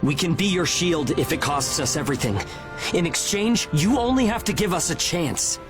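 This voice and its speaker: A man's voice: on the high side, expressive, normal speed, natural voice. An actor playing an earnest, determined young man in a dramatic video game scene, speaking persuasively. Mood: pleading, determined. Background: music.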